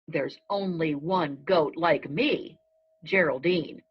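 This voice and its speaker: A woman reading a children's story aloud in measured, deliberate phrasing. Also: sits low, breathy edge. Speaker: storybook reader. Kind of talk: read-aloud narration of a children's story in a goat's first person. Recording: dull lo-fi recording.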